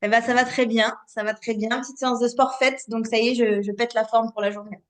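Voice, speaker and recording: A woman in her late twenties from the Paris region, an osteopath telling her story as a podcast guest in a lively, chatty way with many fillers and restarts. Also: a little high, fairly quick. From Paris region, France. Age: 20s. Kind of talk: casual podcast interview.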